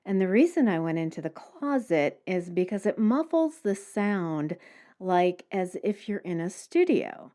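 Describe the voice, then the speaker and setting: A woman's voice: mid-range voice, sing-song, unhurried, dark tone. A woman hosting a solo podcast, explaining things step by step in plain-spoken language. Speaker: podcast host.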